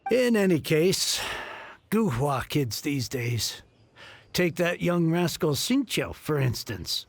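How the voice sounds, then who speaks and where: Gravelly, animated merchant. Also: male voice, on the high side, takes it slowly, slight background noise. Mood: earnest. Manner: earnest, effusive, a little grumbling. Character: grateful middle-aged male merchant heir, bookish. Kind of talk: video game voice acting.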